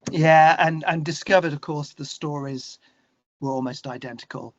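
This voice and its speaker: A man with an English accent talking candidly and reflectively as an interview guest, searching for his words in a halting way. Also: a little high, steady tone, dry recording, muffled recording.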